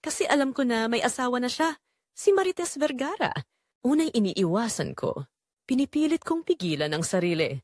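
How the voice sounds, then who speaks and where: A woman playing a remorseful secretary and mistress, narrating her forbidden love in an expressive, confessional audio drama style. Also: a little high, moderate pace, dry recording, clean studio sound. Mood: wistful, guilty.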